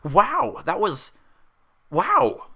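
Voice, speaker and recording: A man voicing an excitable, childlike female pony who gushes eagerly in a story reading. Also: high voice, very slow, gravelly, pitched above the performer's own voice, full character voice. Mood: amazed. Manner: gushing, pleading, eager.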